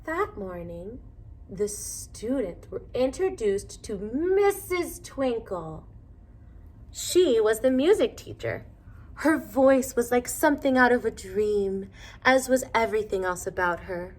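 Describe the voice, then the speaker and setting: A woman reading a children's storybook aloud in a deliberate, expressive manner. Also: on the high side. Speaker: storybook reader.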